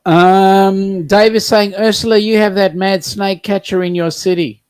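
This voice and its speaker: A man's voice: sits high, fairly even intonation, unhurried, smooth, no echo, clean studio sound. A man, co-hosting a casual livestream and reading viewer comments aloud. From South Africa. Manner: chatty, reads out viewer comments. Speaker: co-host reading live chat.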